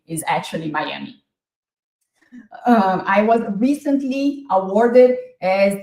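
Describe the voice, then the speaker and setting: A woman in her forties from Brazil with a Brazilian accent, presenting an online masterclass in an energetic, talkative way. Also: mid-range voice. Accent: Brazilian Portuguese. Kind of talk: webinar presentation. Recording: echoey room.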